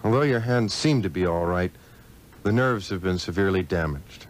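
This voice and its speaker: An actor playing an earnest, hesitant doctor giving grave news in an old radio drama. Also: male voice, sits low, normal speed, lightly acted. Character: earnest male doctor breaking bad news gently. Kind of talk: 1960s radio drama acting.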